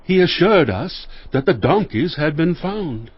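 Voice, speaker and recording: An actor playing an earnest, fiery young man in an audio Bible drama, husky and emphatic. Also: on the high side, expressive, measured pace, no room sound. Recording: dry, slightly muffled.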